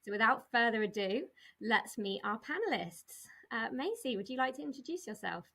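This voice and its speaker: A woman's voice: a little high, expressive, normal speed, pushed and bright. A woman moderating a panel, thanking each speaker with upbeat, encouraging words and handing over briefly to the next one.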